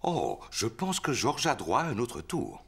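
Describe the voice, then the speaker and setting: A man voicing a kindly, playful daddy pig in a children's cartoon, speaking in a gentle, indulgent way. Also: mid-range voice, huge pitch swings, unhurried, gravelly, a little hiss or hum, heavily acted. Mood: encouraging.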